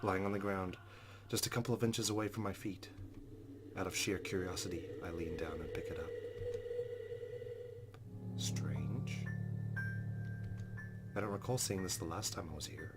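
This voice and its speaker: A man's voice: low-pitched, steady tone, fast talker, over loud music. A man hosting a let's play, reading a visual novel aloud with dramatic, jittery asides.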